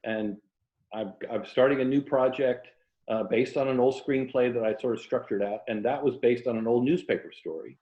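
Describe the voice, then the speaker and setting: A man's voice: medium pitch, moderate pace, warm tone. American man chatting in an echoey room. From Tampa, Florida, United States. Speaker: author, interview guest. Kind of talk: casual literary interview chat. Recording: muffled, echoey room audio.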